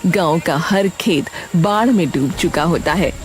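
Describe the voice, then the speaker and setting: A woman's voice: lowish voice, unhurried. A woman narrating a scripted story as a storyteller. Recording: music bed under the voice.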